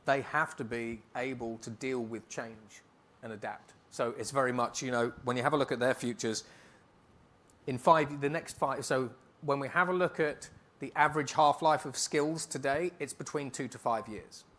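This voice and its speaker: A man speaking as a keynote speaker, answering on stage in a conference hall in a brisk, emphatic way and rattling off lists. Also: mid-range voice, fairly bright tone.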